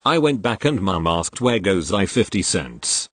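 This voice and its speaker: A man reading online posts aloud as the regular reader of the channel, in an expressive storytelling way. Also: sits low, a little slow, a little hiss or hum.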